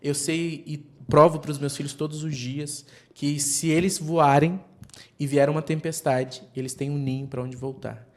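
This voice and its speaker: A man's voice: on the high side, flat delivery, moderate pace, darkish tone. A man as a podcast guest, speaking earnestly and reflectively in long stretches built around images like nests and wildfires. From Brazilian Northeast. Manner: earnest, speaks in extended metaphors. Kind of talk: reflective interview talk.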